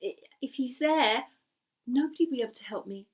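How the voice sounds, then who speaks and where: A woman's voice: on the high side, a little fast, husky. Woman as an earnest young folk-tale hero. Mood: worried.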